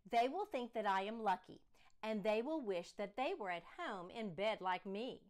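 A woman's voice: medium pitch, lively intonation, moderate pace, darkish tone, natural voice. A woman voicing a stubborn, anxious girl who refuses to go to her new school, whining and protesting. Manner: whiny, protesting.

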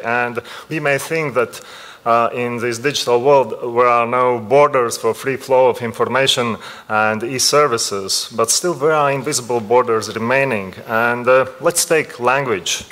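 Latvian-accented man giving measured panel remarks. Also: medium pitch, fairly bright tone, long reverb tail. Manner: measured, frequent hesitations. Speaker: panel speaker. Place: conference room, seated at table. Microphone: table gooseneck mic.

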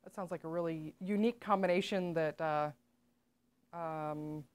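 A woman's voice: sits low, steady tone, normal speed, a little hiss or hum. Woman, middle-aged, host, upbeat, affirming, casual interview, small studio. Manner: upbeat, many short affirming okays. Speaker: nutritionist host.

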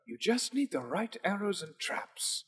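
An actor voicing a villager in a video game set in mythic ancient Greece, with scripted lines that sound distant and quiet. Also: male voice, sits high, sing-song, measured pace, slightly rough, full character voice. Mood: advising.

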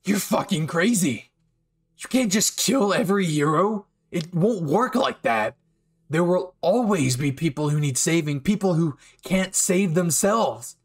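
A man's voice: high-pitched, lively intonation, a little slow, breathy edge, exaggerated voice. A man voicing a hot-tempered, loyal young hero in an anime roleplay, intense and pleading. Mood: angry, pleading. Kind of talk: anime fan ASMR roleplay acting.

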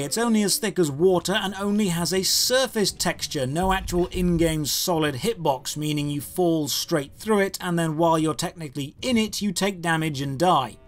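A man's voice: high-pitched, fairly bright tone. A British man in his thirties narrating a scripted game review in a sardonic, critical manner. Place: small room lined with game shelves.

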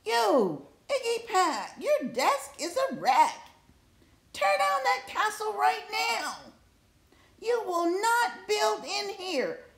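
A woman's voice: very high voice, very animated, slow pace, fairly bright tone, reverberant, voice pushed up, heavily acted. A woman reading a storybook, voicing a strict, scolding female schoolteacher with theatrical emphasis. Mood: angry scolding. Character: strict, shrill, scolding second-grade female teacher.

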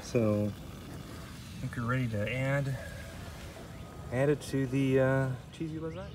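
A man's voice: medium pitch, slow pace. Chatty man cooking in the woods. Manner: chatty, self-deprecating, thinks aloud with fillers. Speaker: outdoor vlogger. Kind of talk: casual outdoor cooking commentary. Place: outdoors in autumn woods. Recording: outdoor recording with clear background noise.

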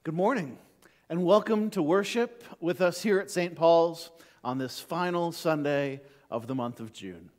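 A middle-aged man as pastor welcoming people to worship, friendly and halting, with many fillers, standing in a church sanctuary. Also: a little high, darkish tone. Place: church sanctuary before the altar. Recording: sanctuary with long reverb.

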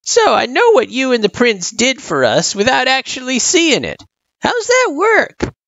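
An old male turtle monster in a fantasy audio story. The voice is pitched high, gravelly and wheezing, with swooping, teasing, folksy cheer. It sounds very close and dry. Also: huge pitch swings, measured pace, a bit bright, no room sound, dull audio, full character voice. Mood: reflective. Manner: folksy old-timer talk.

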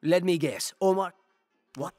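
A man's voice: sits high, a little slow, warm tone, dry recording, faint music behind. An actor playing an eager, shrewd male village merchant in a video game, with salesmanlike patter. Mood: businesslike.

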